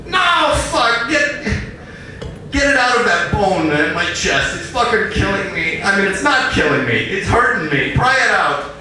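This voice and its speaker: A man acting a shrill, agonized, furious man. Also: sing-song, unhurried, bright, forceful tone, heavily acted. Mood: pained, exasperated. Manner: shrill, profane, demanding outbursts. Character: agonized, furious, suicidal man begging to be killed. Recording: roomy live stage, distant mic, faint noise.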